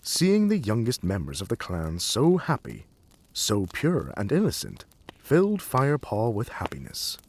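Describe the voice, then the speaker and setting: A man with a husky, medium-pitched voice reads a story. His intonation swings dramatically up and down as he narrates. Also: wildly swooping pitch, a little slow.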